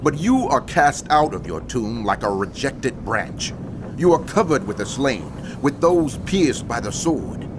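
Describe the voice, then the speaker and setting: An actor playing a prophet narrator, solemn and declamatory, in dramatic scripture narration. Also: male voice, mid-range voice, background noise, close to an everyday voice. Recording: music under the voice.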